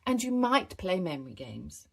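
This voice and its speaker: A woman's voice: on the low side, sing-song, normal speed, gravelly, husky, exaggerated voice. A woman voicing a kindly gazelle schoolteacher in a children's story, explaining patiently and encouragingly. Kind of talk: children's storybook character read aloud.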